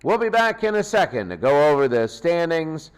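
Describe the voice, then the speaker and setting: A man hosting a sports pregame show, commenting in a loose, unscripted and halting way. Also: fairly high pitch, sing-song, smooth. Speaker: sports host.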